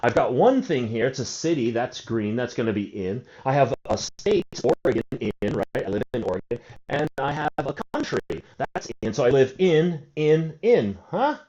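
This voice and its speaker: A man's voice: medium pitch, lively intonation, normal speed, few pauses, mellow. A man in his forties teaching an English grammar lesson, asking and answering his own questions and drawing out key words. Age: 40s. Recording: dull lo-fi recording.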